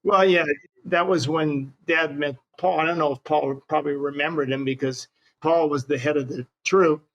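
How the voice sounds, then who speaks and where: A man's voice: a little high, steady tone, normal speed, fairly bright tone, highs cut off. An older man from Hawaii with an American accent, casually reminiscing as an interview guest. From Hawaii, United States.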